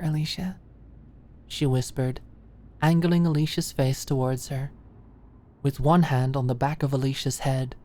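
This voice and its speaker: A woman's voice: a bass voice, dark tone. A woman reading scripted romance fiction as an audiobook narrator in an ASMR style.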